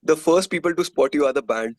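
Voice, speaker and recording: A man's voice: a little high, fairly quick, no echo. A man from India with an Indian accent, telling stories casually and candidly as a musician being interviewed.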